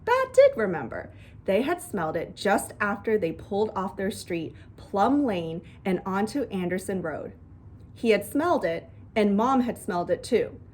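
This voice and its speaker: A woman reading a children's book aloud in an expressive storytelling style. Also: medium pitch, normal speed, slight background noise. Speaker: storybook reader.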